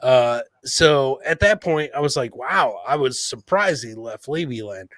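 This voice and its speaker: A man's voice: medium pitch, expressive, measured pace, a bit bright, no echo. A man hosting a casual podcast, talking bluntly and swearing freely like he is among buddies. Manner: blunt, profane, buddy-like talk. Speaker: podcast host.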